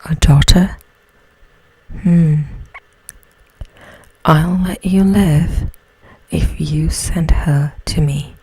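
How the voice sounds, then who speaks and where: A menacing storybook beast , as a woman puts him on, whispering in a breathy, husky hush. He is slow, accusing and threatening, as in a bedtime tale. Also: deep voice, fairly even intonation, dry recording, exaggerated voice, poor audio. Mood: bargaining. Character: hideous menacing male beast, bargaining harshly.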